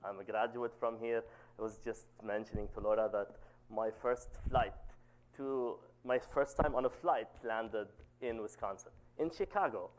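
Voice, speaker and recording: A man in his thirties with a loud voice, giving an academic seminar talk and often checking in with "okay?". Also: normal speed, rough recording. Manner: says "okay?" to check in, lively pitch. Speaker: guest lecturer.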